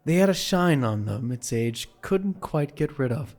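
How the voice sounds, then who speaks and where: A man's voice: medium pitch, expressive, measured pace, a little mellow. A man giving scripted, dramatic story narration for an audio drama.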